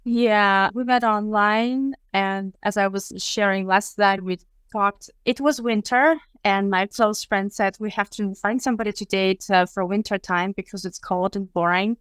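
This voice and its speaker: A woman from Bulgaria with a Bulgarian accent, a guest telling her story in a relaxed, measured way with frequent pauses and fillers. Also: medium pitch, smooth, no room sound. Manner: measured, with frequent ums and uhs. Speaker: interview guest. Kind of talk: casual conversation.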